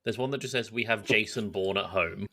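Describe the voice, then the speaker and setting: A man's voice: on the low side, fairly quick, sharp tone. A man co-hosting a podcast, chatting casually and bluntly. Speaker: podcast co-host. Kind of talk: casual chat.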